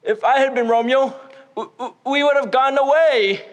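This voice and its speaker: A student actor playing a gentle, earnest, deformed young man who stammers and speaks haltingly in a stage play. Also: high voice, lightly acted. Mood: insistent. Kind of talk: high school stage play acting. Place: school theater stage. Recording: echoey theater hall.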